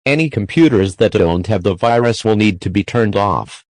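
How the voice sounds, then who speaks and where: A man reading a scripted emergency alert in a flat, formal way. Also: lowish voice, normal speed, dry recording, studio quality.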